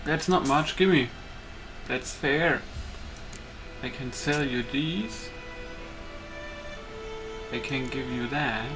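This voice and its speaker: Guy playing a game and thinking out loud in short bits, music pretty loud behind him. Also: mid-range voice, very slow, pauses often. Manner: thinking aloud in short, broken remarks. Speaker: gamer, video host. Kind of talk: casual gameplay commentary.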